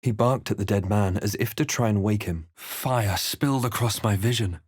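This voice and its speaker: Low-voiced, brooding fantasy adventurer. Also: male voice, normal speed, breathy edge, no echo, studio quality. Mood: grave, measured. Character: brooding male fantasy adventurer narrating his quest. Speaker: video game player-character voice. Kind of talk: dramatic first-person game narration.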